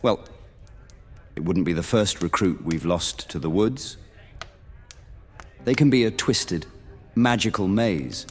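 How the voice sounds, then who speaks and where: A man's voice: deep voice, takes it slowly, long pauses. A man playing an earnest, welcoming knight who guides newcomers round a monster hunters' guild in formal, old-fashioned speech, in a fantasy roleplay drama. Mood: earnest, informative. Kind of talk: immersive fantasy roleplay audio drama acting. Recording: long reverb, music bed behind.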